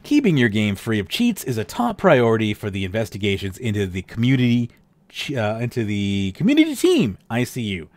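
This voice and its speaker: A man hosting a podcast with a worn, slightly tired voice. His pitch moves a lot as he drifts into sarcastic asides, and the sound is dry and close. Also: brisk, no room sound. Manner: animated, sarcastic asides, trails off with fillers. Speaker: podcast host. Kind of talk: casual podcast chat reading game news.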